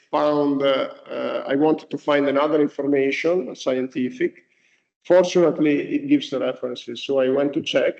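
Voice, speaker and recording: Man, native Italian, lecturer, halting with restarts, gravelly, dull lo-fi sound. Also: medium pitch, darkish tone. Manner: halting, many restarts and fillers. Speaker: university lecturer. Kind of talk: lecture to students. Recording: dull lo-fi recording.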